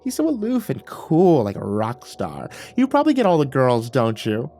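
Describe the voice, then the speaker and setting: A man's voice: medium pitch, huge pitch swings, normal speed, dark tone, faint music behind. Man voicing a drunk, crooning married woman. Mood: flirty, crooning. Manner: crooning, wheedling.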